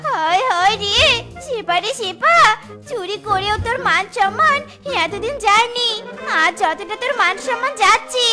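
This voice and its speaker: A woman's voice: extremely high pitch, expressive, normal speed, over loud music. A woman voicing a dramatic, demanding young female cartoon animal with a plaintive, childlike delivery. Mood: alarmed, ashamed. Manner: plaintive, melodramatic. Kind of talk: comic cartoon voice acting.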